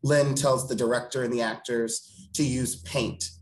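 A man's voice: medium pitch, fairly even intonation, a little slow, low-quality recording. Earnest, eloquent man in an interview. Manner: earnest, eloquent, emphatic repeated phrasing. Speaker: theatre director, interview guest. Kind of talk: thoughtful interview talk. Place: home room on a video call. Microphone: video call.

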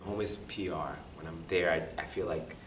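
A man's voice: sits low, moderate pace, background noise. A man from Puerto Rico answering interview questions expressively. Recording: echoey room, distant mic.

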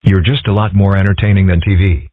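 A calm, philosophical fox boy played by a man in a comic strip cartoon, measured and analytical. The voice is low and husky, through a thin phone-like recording. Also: no echo. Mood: dry teasing. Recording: thin, band-limited, phone-like sound.

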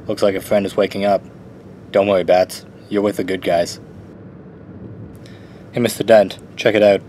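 A man's voice: on the low side, level tone, normal speed, darkish tone, slight background noise, natural voice. A man voicing a smug male hired gunman with a mocking manner in a fan radio drama.

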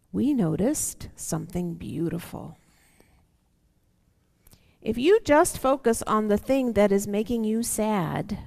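A middle-aged woman reads aloud to children as a church storyteller. Her pace is slow and her pitch sits in an ordinary female range, with expressive lingering on words and conversational asides. She speaks into a headset mic, with long hall reverb around the voice. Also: gravelly. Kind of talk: reading a picture book aloud to children. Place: large church sanctuary, seated indoors. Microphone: headset microphone.

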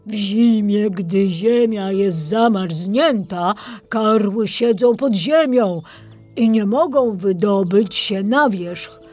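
A woman acts out a kindly bear from a storybook. The speech is slow, solemn and deliberate, raised above plain narration, with music playing behind it. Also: mid-range voice, a little mellow. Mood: solemn warning. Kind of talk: acted storybook dialogue.

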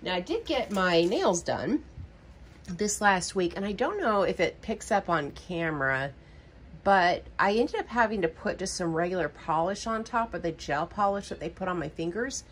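A middle-aged woman with a Southern drawl talks her way through a shopping haul in a rambling, chatty manner, full of ums. Her voice sits a little low. Faint hiss hangs under the kitchen recording. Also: expressive, normal speed. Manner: chatty, rambling, many ums, baby talk to her cat. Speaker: budgeting vlogger. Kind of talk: casual haul narration. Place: home kitchen.

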